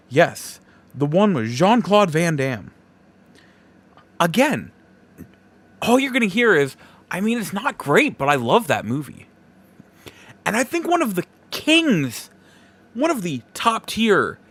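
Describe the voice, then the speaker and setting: A man's voice: a little high, a bit bright. A man hosting a podcast solo, giving opinions in a casual, conversational and emphatic way. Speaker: podcast host.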